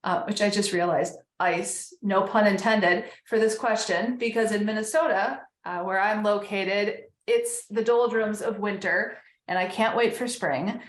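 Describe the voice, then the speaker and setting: An echoey room surrounds this woman's voice. She speaks as a podcast host in casual interview chat. Her pitch sits in a middle range for a woman.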